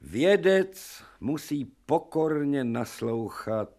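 An actor playing an authoritative, ironic professor of medicine, lecturing and declaiming in a radio drama. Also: male voice, on the high side, expressive, a little mellow. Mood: reflective.

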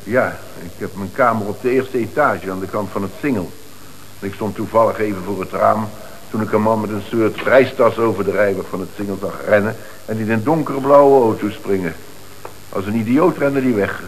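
Radio play company director, acted by a man: earnest, easily rattled, halting, Netherlands Dutch accent, faintly hissy old recording. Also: lowish voice, natural voice, rough recording. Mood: formal, eager. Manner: halting, repeats words when rattled. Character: agitated, earnest male company director, flustered witness.

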